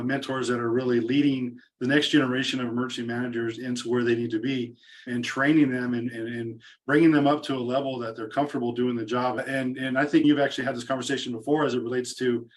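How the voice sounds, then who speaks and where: A man's voice: medium pitch, level tone, quick pace. A man hosting an interview podcast, agreeing readily and talking around his points with many restarts. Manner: agreeable, rambling with repeated restarts. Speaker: podcast host.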